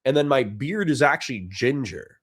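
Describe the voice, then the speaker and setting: A man's voice: mid-range voice, animated, moderate pace, slightly rough, no room sound. A middle-aged man hosting a casual livestream, reading chat messages and thanking supporters in a dry, sincere way with frequent restarts. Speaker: livestream host.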